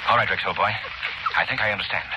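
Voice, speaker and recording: An actor playing a shrewd, assured gentleman detective with polished, expressive delivery in a 1930s radio drama on a very noisy old recording. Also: lowish voice, fast, muffled recording, close to an everyday voice. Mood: purposeful.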